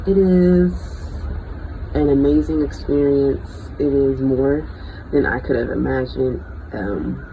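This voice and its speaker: Gravelly-voiced thirty-year-old vlogger over noise. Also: mid-range voice. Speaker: bioengineering student. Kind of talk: casual unscripted personal vlog. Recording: dull lo-fi audio with vehicle-like noise.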